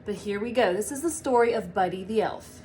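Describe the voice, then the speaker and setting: A woman reading a story aloud to children like a teacher, with animated, drawn-out storybook phrasing. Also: medium pitch, a little hiss or hum. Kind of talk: expressive read-aloud storytelling.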